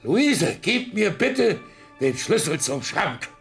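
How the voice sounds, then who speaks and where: An actor playing a proud, bitter old blind art collector in a radio play, who swings from boisterous scorn to hushed intensity. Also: male voice, high voice, sing-song, takes it slowly, creaky, husky, soft background music, heavily acted. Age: older.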